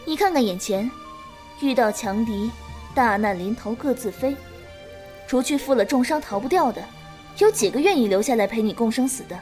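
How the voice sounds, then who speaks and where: A woman playing a calm, principled, protective elder-sister swordswoman in a martial-arts audio drama. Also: fairly high pitch, normal speed, faint music behind, lightly acted. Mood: stern, righteous. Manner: composed, coaxing, righteous lecturing.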